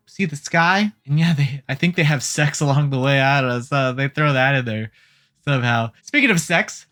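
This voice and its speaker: A host delivering casual gaming news commentary in an irreverent, jokey way, swearing casually, with a medium-pitched voice. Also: fairly bright tone. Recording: music playing behind the voice. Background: background music.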